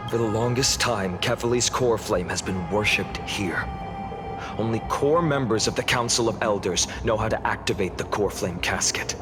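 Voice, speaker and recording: A man's voice: on the low side, moderate pace, over music, close to an everyday voice. A man voicing an earnest, resolute young hero in a video game, speaking formally and with stern conviction. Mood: stern, determined. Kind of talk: dramatic video game acting.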